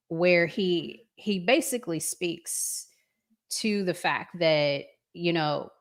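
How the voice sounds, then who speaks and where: Woman, slightly low voice, unhurried pace, live-stream host, conversational, casual commentary. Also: creaky, fairly bright tone.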